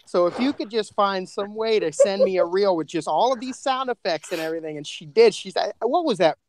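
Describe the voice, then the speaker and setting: A man's voice: high voice, a little fast, darkish tone, slight background noise. A man in casual chat as a podcast guest, effusive and slangy, often repeating phrases as he goes. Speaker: podcast co-creator and panel guest.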